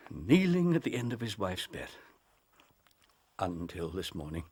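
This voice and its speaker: An actor playing a grave, proud, compassionate older English doctor in a radio drama, speaking in an earnest, formal, emphatic way. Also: male voice, on the low side, vocal fry, darkish tone, slightly rough, exaggerated voice. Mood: agitated, regretful. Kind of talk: British radio drama acting.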